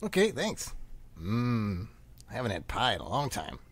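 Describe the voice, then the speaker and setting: Woman voicing a husky, cocky kid brother. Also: extremely low pitch, huge pitch swings, moderate pace, heavily acted. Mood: breezy. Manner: glib, folksy, defensive. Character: cocky, defensive kid brother, shady antiques dealer. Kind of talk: live radio play acting.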